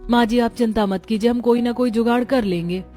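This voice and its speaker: A woman performing family dialogue for an animated story. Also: fairly high pitch, a little fast, faint music behind. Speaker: voice of the women of a family. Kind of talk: acted Hindi cartoon story dialogue.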